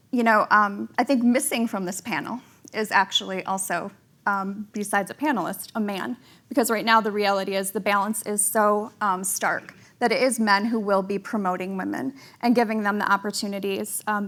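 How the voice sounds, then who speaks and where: A woman's voice: medium pitch, fairly bright tone. A woman panelist and editor, speaking earnestly and candidly. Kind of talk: panel discussion.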